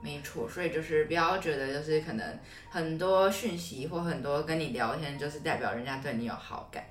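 A woman's voice: low voice, fairly quick. A woman co-hosting a podcast, explaining things in a conversational way with repeated connecting words and agreements. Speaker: podcast co-host. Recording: roomy echo, slightly distant mic.

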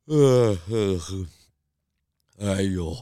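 A man's voice: low voice, lively intonation, extremely slow, warm tone, clear, resonant tone, no room sound, studio quality, exaggerated voice. A man voicing an easygoing, caring, food-loving pig detective in a children's audio story. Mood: sleepy, grumbling.

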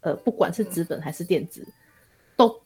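A woman's voice: lowish voice, animated, normal speed, a little mellow, slightly rough, soft background music. A Taiwanese woman in casual podcast chat, speaking candidly and self-deprecatingly as a guest author.

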